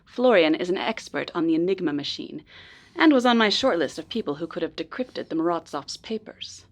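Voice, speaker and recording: A woman playing a polite, earnest informant in a noir radio drama, speaking in a measured, precise way. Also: fairly low pitch, lively intonation. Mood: earnest, serious. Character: polite, earnest female informant helping a detective.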